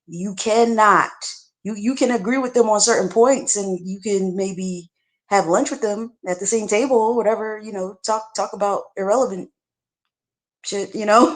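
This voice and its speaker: A woman's voice: mid-range voice, normal speed. A woman speaking bluntly and frankly as a panelist in a casual livestream discussion, often restarting her sentences. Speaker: podcast panelist.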